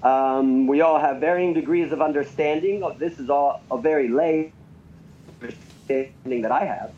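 A man being interviewed about his bar, explaining in a measured, hedging way. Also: on the high side. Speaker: bar owner and guest. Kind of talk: interview answers. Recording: muffled remote line with faint noise.

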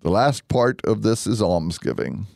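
A man speaking as a deacon and radio host, conversational and earnest, explaining things deliberately. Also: lowish voice, animated, vocal fry, a little mellow, no echo, studio quality. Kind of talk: conversational religious radio hosting.

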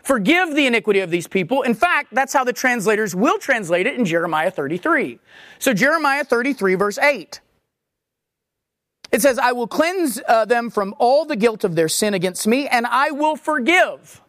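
A preacher giving a verse-by-verse sermon, restating each word's meaning several times and slowing down to quote passages. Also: medium pitch, fairly bright tone, no echo, studio quality. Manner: explains word meanings with repeated restatements and frequent restarts. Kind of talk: expository sermon on scripture.

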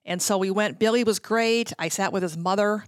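A woman's voice: medium pitch, brisk, no echo, studio quality. A middle-aged American woman telling anecdotes casually as an interview guest, chattily acting out bits of dialogue as she goes. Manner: chatty, self-quoting, acts out dialogue. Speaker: interview guest, former radio promotions worker.

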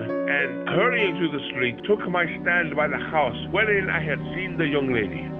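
Man acting an exasperated young storyteller, high-pitched. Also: narrow, tinny audio. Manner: dramatic, archaic storyteller's phrasing. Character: exasperated, secretive young male lover telling his misadventure. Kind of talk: audio drama narration. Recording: music under the voice.

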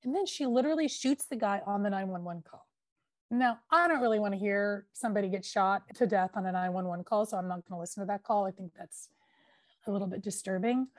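A woman tells a true-crime case on a podcast in a chatty, emphatic way, leaning on fillers as she goes. She is recorded close and dry, with no room sound. Her pitch sits in a middle range for a woman. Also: fast, no echo. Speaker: podcast host. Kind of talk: casual true-crime storytelling.

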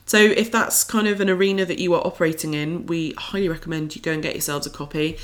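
A woman's voice: low voice, fairly even intonation, fast. A woman co-hosting a podcast, chatting in an informal, enthusiastic way. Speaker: podcast co-host. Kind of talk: casual scripted-free podcast chat.

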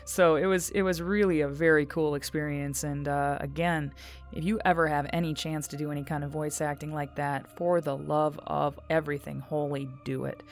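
A woman voice actor giving a casual, reflective personal testimonial in a halting way. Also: low-pitched, fairly even intonation, faint music behind.

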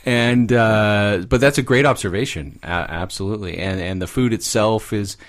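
A man's voice: on the low side, moderate pace. A man speaking as a guest expert, explaining fairy tales in a conversational way with little acted asides. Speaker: guest expert, psychology professor.

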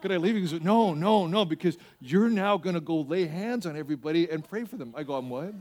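A man preaching as a pastor in an animated, conversational way, repeating phrases for emphasis and telling humorous personal stories. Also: a little high, brisk. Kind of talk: sermon with personal anecdotes and acted-out dialogue.

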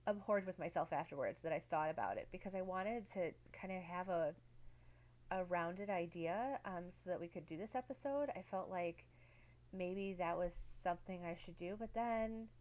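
Reflective woman podcaster, lowish voice. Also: moderate pace, darkish tone, muffled recording. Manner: reflective, many "like" and "um" fillers. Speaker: podcast co-host. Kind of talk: casual podcast chat.

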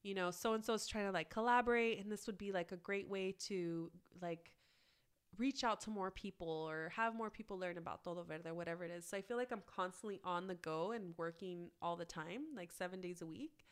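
A woman guest on a podcast, talking casually with lots of "like" fillers, her voice raspy from a cold. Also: lowish voice, brisk. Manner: chatty, many "like" fillers. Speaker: guest, plant-based cookbook author.

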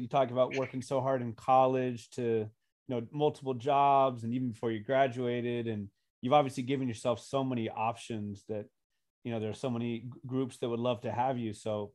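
A man hosting a podcast interview, supportive and warm toward his former student, with quick short replies. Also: medium pitch, fairly even intonation. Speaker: podcast host, former teacher. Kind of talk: interview chat.

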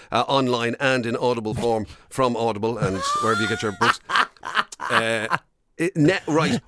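Animated Irish man in dry studio banter. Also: mid-range voice, no echo. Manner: rambling, teasing, self-deprecating. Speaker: radio co-host. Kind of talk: casual comic banter. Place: radio studio.

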